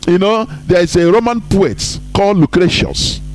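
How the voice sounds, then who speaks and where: A man's voice: lively intonation, moderate pace, slight background noise. Emphatic male live-stream host. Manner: emphatic, rises high on points, drops low for asides. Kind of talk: live online broadcast monologue.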